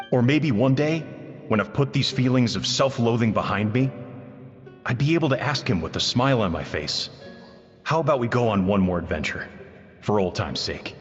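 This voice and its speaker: A man playing a melancholy adventurer reminiscing alone in a measured, wistful game monologue with echoing reverb. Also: mid-range voice. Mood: longing. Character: melancholy, regretful male adventurer reminiscing in solitude. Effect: long echoing reverb. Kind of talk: dramatic video game monologue. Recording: long reverb, slightly dull, music behind.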